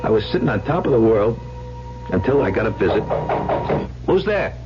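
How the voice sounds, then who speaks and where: A man's voice: lowish voice, sing-song, normal speed, over music. An actor playing a cynical, slangy, money-hungry gambler poet who tells his own story in an old-time radio drama. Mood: defensive, cocky. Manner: wisecracking, slangy, hard-boiled. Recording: muffled old radio recording.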